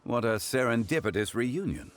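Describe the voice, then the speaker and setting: An actor playing a composed, scholarly antiques consultant in a video game, speaking in a formal, measured, eloquent way. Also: male voice, medium pitch, animated, lightly acted. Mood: courteous, serene.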